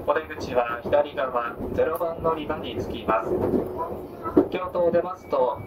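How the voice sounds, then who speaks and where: A man's voice: fairly high pitch, unhurried. A man working as a train conductor, making polite, formal onboard announcements. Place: inside a moving train carriage, over speakers. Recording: noisy distant train PA, poor audio. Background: loud train and ventilation noise.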